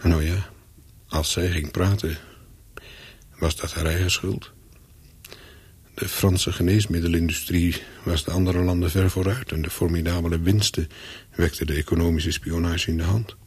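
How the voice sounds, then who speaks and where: A calculating, hunted spy tells his own story in a radio play. His voice is low and heavily husky, and he speaks in short, brooding sentences. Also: steady tone, normal speed, a little mellow, dry recording, heavily acted. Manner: terse, brooding, clipped sentences. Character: wary, calculating male spy on the run, narrating. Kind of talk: first-person radio play narration with dialogue.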